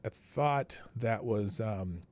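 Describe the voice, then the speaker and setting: A man's voice: on the low side, slow, a little mellow. A man hosting a live show, commenting casually with rambling asides and jokes. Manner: rambling, self-mocking, joking asides. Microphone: studio microphone.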